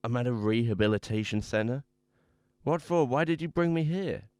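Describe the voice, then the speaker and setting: A man playing a troubled, hard-drinking man who tells his own story in halting, haunted phrases for a radio drama. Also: medium pitch, lively intonation, darkish tone, no echo, clean studio sound, lightly acted. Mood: bewildered. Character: troubled, drunken man confessing his ruin. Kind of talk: dramatic first-person radio drama monologue. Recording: music playing behind the voice.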